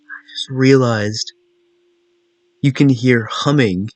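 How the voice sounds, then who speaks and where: A man's voice: mid-range voice, takes it slowly, no echo. A man doing casual let's-play commentary and reading game text aloud, with wry asides. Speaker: gaming channel host.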